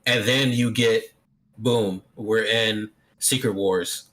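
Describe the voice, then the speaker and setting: Podcast guy, bright edgy voice, joking around and sure he's right. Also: lowish voice, steady tone, takes it slowly, poor audio. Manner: playful, laughs easily, confident in his predictions. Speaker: podcast co-host. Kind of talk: casual chat.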